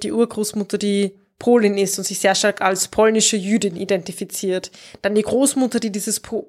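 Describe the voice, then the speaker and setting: A woman discussing books as a host, explaining things in a reflective, informal and conversational way. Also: mid-range voice.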